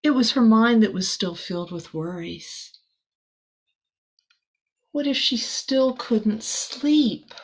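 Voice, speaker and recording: A woman's voice: a little high, animated, takes it slowly, pauses often, slightly rough. A woman reading a children's picture book aloud expressively, adding small chatty asides for a young listener. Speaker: storybook reader.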